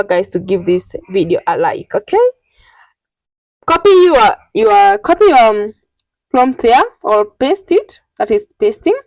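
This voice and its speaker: A woman with a fairly high voice hosts an informal tutorial, walking through each step. She speaks in a halting, repetitive, instructional way, and the sound is dry and close. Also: a little mellow, no echo, rough recording. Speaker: tutorial host.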